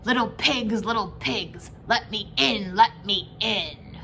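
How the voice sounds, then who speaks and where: A woman playing a greedy, menacing storybook wolf, loud and drawn-out. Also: mid-range voice, slight background noise. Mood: coaxing, demanding. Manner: loud, drawn-out, theatrical huffing threats.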